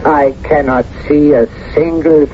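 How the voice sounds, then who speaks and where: A man's voice: fairly high pitch, fairly even intonation, measured pace, over loud music, close to an everyday voice. An actor playing a genial, self-assured businessman with a polished, effusive manner in a 1970s radio drama. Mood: jovial, admiring. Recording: muffled, faintly noisy old radio broadcast.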